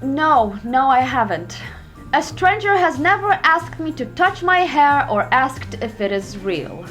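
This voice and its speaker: A young woman vlogger talks to camera in a high, bouncy voice, reading quiz questions aloud and snapping back with sarcastic, profane answers. Soft music plays faintly underneath. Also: sing-song, unhurried. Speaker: YouTuber. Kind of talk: reacting to online quizzes to camera.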